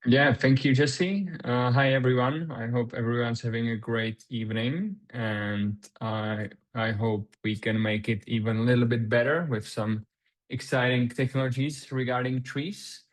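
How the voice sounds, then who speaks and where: A man's voice: mid-range voice, fairly even intonation, a little slow. Czech man presenting in a webinar. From Czech Republic. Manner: hesitant, many fillers and restarts. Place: remote video call.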